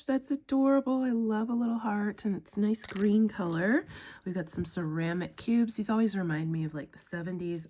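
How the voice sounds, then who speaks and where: A young woman with a cold and a sniffly voice, chatting casually as she unboxes craft supplies, gushing with drawn-out "ooh"s and "um"s. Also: low-pitched, noisy background. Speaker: crafting vlogger.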